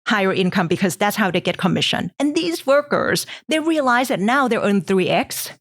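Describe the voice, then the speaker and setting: A middle-aged woman from Thailand, explaining things in measured podcast answers with frequent ums. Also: medium pitch, big pitch swings, dry recording, studio quality. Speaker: guest investor.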